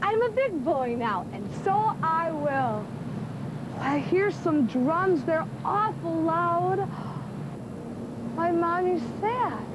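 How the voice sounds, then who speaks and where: A sixteen-year-old young woman playing a brave, grieving little boy in a plaintive dramatic verse monologue. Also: exaggerated voice. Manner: slow, plaintive recitation. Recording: noisy outdoor camera recording with rumble.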